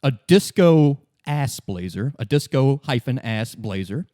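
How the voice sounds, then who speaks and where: A man acts as game master in a casual improvised session. He describes scenes in a halting, wry manner at an ordinary male pitch. The sound is dry, with hardly any room. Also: expressive, mellow, no room sound.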